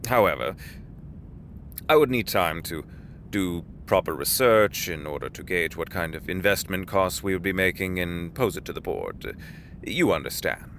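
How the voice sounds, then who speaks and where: An actor playing a courteous middle-aged executive, avuncular and formal, with genteel, deliberate phrasing in an audio drama. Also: male voice, low voice, expressive, fairly bright tone, a little hiss or hum, full character voice. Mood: considering, courteous.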